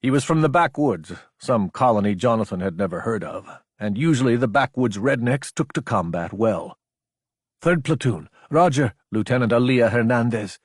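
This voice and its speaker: A man's voice: mid-range voice, expressive, normal speed, hardly any pauses, husky, no room sound, studio quality. A man narrating a science fiction action audiobook from a script.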